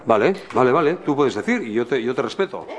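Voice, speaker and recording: Council member in a heated debate; quick, animated delivery, keeps jumping in. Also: medium pitch, crowd noise. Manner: interjecting, repetitive ("yo, yo, yo").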